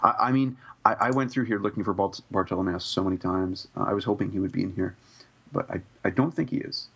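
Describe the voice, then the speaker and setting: Podcast co-host, male, gravelly and husky, casual and hesitant delivery. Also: deep voice, fast, a bit bright. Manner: hedging, thinks aloud with many ums. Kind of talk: casual chat.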